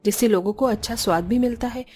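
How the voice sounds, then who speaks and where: Poor young widowed mother for cartoon tale, played by a woman: fairly high, earnest, caring, expressive. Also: fast talker, breathy edge. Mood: caring, hopeful. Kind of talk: cartoon story dialogue.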